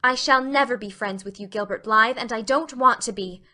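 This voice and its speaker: A spirited teenage girl as a woman reader plays her in an audiobook. Her voice is bright and fairly high, and she speaks with earnest, theatrical emphasis. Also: normal speed. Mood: cold, proud. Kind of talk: audiobook character acting.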